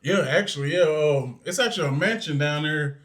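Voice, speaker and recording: A co-host who talks in a loose, easygoing way, leaning on fillers. His voice sits slightly high for a man. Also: fairly quick, fairly bright tone. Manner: loose, chatty, many fillers. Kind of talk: casual chat.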